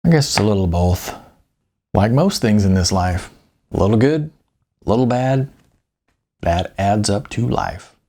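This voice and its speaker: A man voicing a likable retired salesman and father in an audiobook, with a folksy, halting, conversational manner. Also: on the low side, animated, mellow. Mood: wistful, musing.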